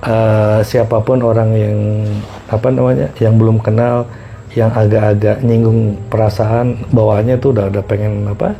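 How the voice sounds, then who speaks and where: A middle-aged man telling personal stories casually as a podcast guest, often pausing and repeating himself to find words. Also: lowish voice, level tone, warm tone, lo-fi sound, slight background noise. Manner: halting, repeats phrases as he searches for words. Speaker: podcast guest recounting past experiences.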